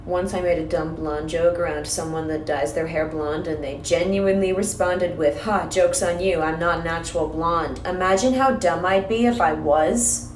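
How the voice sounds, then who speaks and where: A woman's voice: lowish voice, fairly even intonation, moderate pace, echoey room, a little hiss or hum, rough recording. An American woman ranting to camera in a sarcastic, self-mocking way. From United States. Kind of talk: casual rant to camera.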